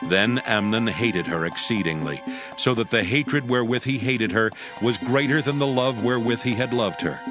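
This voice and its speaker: A man's voice: mid-range voice, moderate pace, over music. A man narrating a dramatized audio Bible from a script, in formal, solemn storytelling style.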